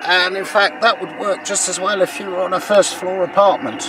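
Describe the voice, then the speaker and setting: Older British male presenter, sixties, fairly high voice, halting hands-on explainer, recorded outdoors with some background noise. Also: steady tone, distant mic. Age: 60s. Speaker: presenter of radio antenna demonstration. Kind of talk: casual unscripted practical demonstration. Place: outdoors in a large grassy garden. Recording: outdoor camcorder, clear background ambient noise.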